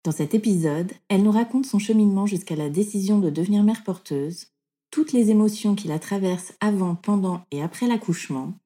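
A woman hosting a podcast, reading a scripted introduction and then asking interview questions. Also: mid-range voice. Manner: steady read narration. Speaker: podcast host.

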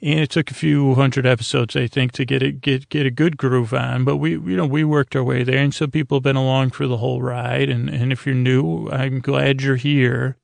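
A man hosting a bedtime podcast in a rambling, halting, goofy monologue, repeating and stammering as he drifts along, with a creaky, gravelly voice. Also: mid-range voice, dry recording. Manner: goofy, oddball. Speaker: podcast host.

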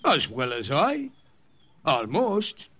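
A fatherly priest from an old radio play. His voice sits a little high and rises and falls freely, with a bright edge. He hesitates genially, like an uncle hunting for the right word among his flock. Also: wildly swooping pitch, husky, heavily acted. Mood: genial, fond. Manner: halting, avuncular, with little hesitations. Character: kindly, paternal Irish missionary priest in the jungle. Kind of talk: 1940s-50s radio drama acting.

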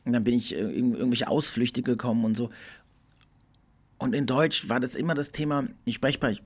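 A middle-aged man hosting a podcast, speaking in a thoughtful, earnest monologue that often slows and drops almost to a whisper. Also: mid-range voice. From Germany. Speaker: podcast host. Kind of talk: reflective solo podcast monologue.

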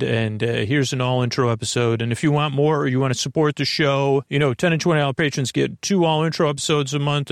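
Creaky-voiced man, rambling and halting. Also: mid-range voice, no echo, studio quality. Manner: meandering, halting, stammering, tangential. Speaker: podcast host. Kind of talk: rambling bedtime podcast intro.

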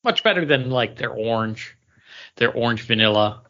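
A man's voice: medium pitch, expressive, moderate pace, bright, forceful tone. A man chatting casually as a podcast co-host, playful and rambling in the way he talks. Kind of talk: casual chat. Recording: dull lo-fi audio.

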